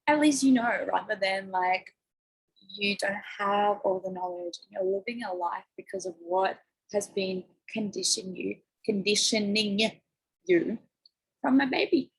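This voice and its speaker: A woman life coach chatting effusively on a livestream, her voice croaky and hoarse. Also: medium pitch, a little slow, poor audio. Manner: bubbly, effusive, lots of "like", coughing. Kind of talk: casual livestream chat. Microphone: phone or laptop livestream.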